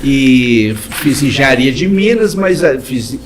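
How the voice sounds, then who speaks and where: A man's voice: mid-range voice, expressive, slow, crowd noise. A man hosting an interview, prompting his guest and answering with short, encouraging affirmations. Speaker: interview host. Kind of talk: casual interview chat.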